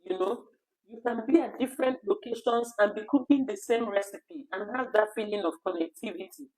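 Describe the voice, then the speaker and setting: A woman with a naturally high voice co-hosting a casual live discussion, talkative and quick to finish her partner's sentences. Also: darkish tone, poor audio. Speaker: co-host of an online talk program.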